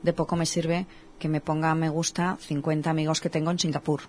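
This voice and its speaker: A woman's voice: low voice, little pitch movement, a little fast, darkish tone. A woman with a Spanish accent from Spain, hosting a casual radio interview and giving everyday examples. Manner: conversational, gives everyday examples. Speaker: radio show host.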